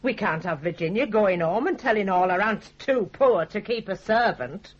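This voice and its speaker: An actress playing a bossy, status-conscious middle-aged mother with a Northern English accent in a radio drama, speaking briskly in a husky voice. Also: medium pitch, animated, muffled recording, natural voice. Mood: anxious. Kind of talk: 1980s British radio drama acting.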